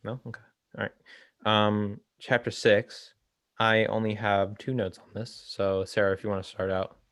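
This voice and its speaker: Low, flat-voiced young man. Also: no room sound, studio quality. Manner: hesitant, halting delivery. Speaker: co-host of a reading discussion. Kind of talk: casual discussion.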